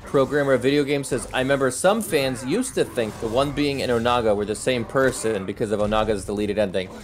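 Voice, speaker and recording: A middle-aged man hosts a live stream in a mid-pitched voice. He talks in a casual, conversational way, offering his own opinions as he responds to comments. Faint music plays behind him. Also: fairly quick. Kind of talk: casual chat answering viewer comments. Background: background music.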